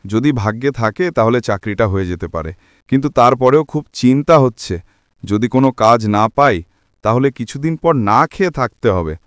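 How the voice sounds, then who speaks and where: Worried, dutiful young husband and son for a cartoon, played by a man; earnest, animated delivery, dry recording. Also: medium pitch, a little fast, no room sound, lightly acted. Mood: worried, dejected. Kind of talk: cartoon drama dialogue.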